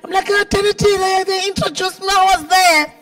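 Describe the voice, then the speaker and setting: A woman's voice: very high-pitched, fairly even intonation, brisk, fairly bright tone, faint music behind. A woman with a South African accent and a big, heavy-timbred voice, hosting a live event with chatty, teasing, informal banter. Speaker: event host. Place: event venue with a dinner audience. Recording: live event, distant from the mic.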